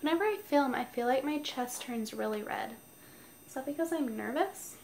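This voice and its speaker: A woman with a somewhat high voice and a stuffy nose explains her makeup routine in a chatty, step-by-step way. She talks casually from home. A faint hiss from the mic stays under her voice. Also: lively intonation, normal speed, darkish tone. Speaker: beauty vlogger. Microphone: noisy mic.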